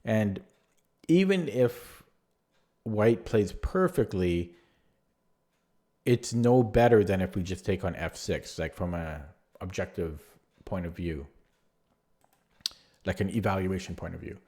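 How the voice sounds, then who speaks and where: A man going over one of his own chess games move by move in a relaxed, rambling way, with a voice that is going hoarse. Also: low voice, slow pace, pauses often. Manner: rambling, many fillers and restarts. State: losing his voice. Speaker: chess player reviewing his own game. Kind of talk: casual spoken chess analysis.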